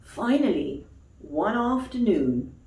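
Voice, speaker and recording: A woman's voice: medium pitch, sing-song, slow, warm tone. A woman reading a children's story expressively, as a storyteller addressing young listeners. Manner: explains words to young listeners in asides. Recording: echoey room, distant mic.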